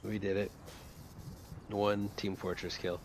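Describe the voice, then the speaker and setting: A streamer with a very deep voice plays a shooter game and talks casually, cursing his own mistakes under his breath. The sounds of a cheering game crowd run behind him. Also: flat delivery, takes it slowly. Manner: mutters and swears at his own play. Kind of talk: casual chat while playing a shooter game.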